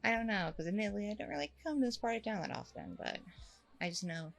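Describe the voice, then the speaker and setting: A woman's voice: mid-range voice, expressive, fast, gravelly. A woman playing a chatty, apologetic blind date in an ASMR audio roleplay, rambling along casually and a little hesitantly. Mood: friendly, chatty.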